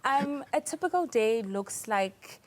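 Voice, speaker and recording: A South African woman giving composed, measured answers as a guest in a television news interview. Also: fairly high pitch, expressive. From South Africa, small town in Mpumalanga. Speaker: interview guest, air traffic controller.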